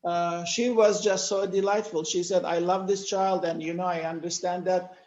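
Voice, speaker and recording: An older man from Turkey, speaking natively, giving a measured lecture-style talk with many pauses. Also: high-pitched, flat delivery, darkish tone, reverberant, highs cut off. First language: Turkish. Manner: measured, explanatory, with frequent "uh" pauses. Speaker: panel speaker. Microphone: video call.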